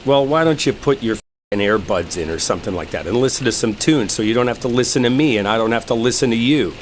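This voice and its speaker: A man's voice: mid-range voice, lively intonation, quick pace, natural voice. Guy acting as a fed up sarcastic coworker in a roleplay audio, voice swings all over, rain and car noise behind him. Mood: simmering sarcasm. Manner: irritated, sarcastic, swearing, argumentative. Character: exasperated, sarcastic male coworker arguing while driving. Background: rain storm and vehicle ambience.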